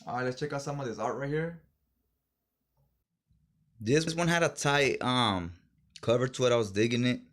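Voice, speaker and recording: A man's voice: medium pitch, normal speed, pauses often, a bit bright. A man chatting casually in slangy, informal fan talk as he reviews things for a vlog. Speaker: comic book haul reviewer.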